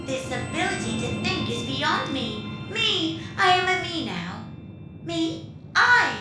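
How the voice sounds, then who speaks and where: Lady doing a gushing naive spaceship ai, super echoey, loud music under it, audio drama comedy. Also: very high-pitched, sing-song, slow pace, exaggerated voice. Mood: excited, delighted. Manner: chirpy, gushing, wide-eyed. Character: excitable, naive newly self-aware female ship AI. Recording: echoing voice with music behind.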